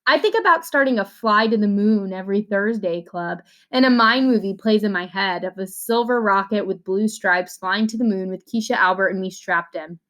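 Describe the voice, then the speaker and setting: A woman reading a children's novel aloud in an expressive way, acting out the dialogue as she goes. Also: medium pitch, normal speed, hardly any pauses.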